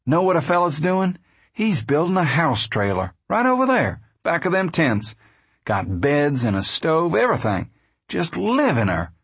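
A man voicing an excitable, girl-crazy young farm boy in a storybook. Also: medium pitch, measured pace, slightly rough, no room sound, full character voice. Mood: excited, eager. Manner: eager, folksy.

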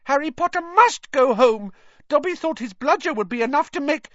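A narrator voicing a small, servile male house elf from a storybook, squeaky, anxious and deferential, pleading in the third person. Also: expressive, moderate pace, no echo, dull audio. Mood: earnest, pleading.

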